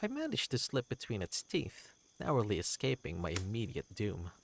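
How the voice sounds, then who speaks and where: A man's voice: lowish voice, huge pitch swings, normal speed, vocal fry, a little hiss or hum, heavily acted. A man voicing an eccentric, proud wanderer creature telling tales in a fantasy game, with a theatrical, whimsical and courteous manner. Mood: excited, boastful. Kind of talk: character voice in a fantasy game reading.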